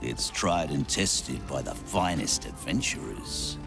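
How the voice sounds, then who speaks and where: Game shopkeeper: bottomless gravelly voice, unhurried, formal pitch to customers. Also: male voice, expressive, exaggerated voice. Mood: formal salesmanship. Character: solemn male adventurers' shopkeeper greeting customers. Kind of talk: video game acting. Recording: clear music behind.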